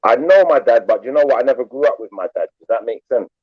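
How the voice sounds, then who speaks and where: A man's voice: mid-range voice, expressive, quick pace, slightly rough, muffled recording. A man from England, talking loosely in a casual group chat and filling his speech with "like" and "you know". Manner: laid-back, rambling, says "like" and "you know" a lot. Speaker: panel guest.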